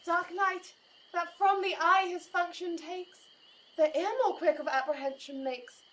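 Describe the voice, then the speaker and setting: Devoted young maiden, bright girlish high voice, earnest, anxious, theatrical Shakespearean audio drama. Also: fairly even intonation, unhurried. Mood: anxious devotion.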